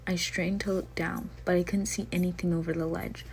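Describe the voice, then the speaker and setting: A woman's voice: lowish voice, flat delivery, a little fast. A woman getting over a cough, reading a horror story aloud at home in a casual, chatty way.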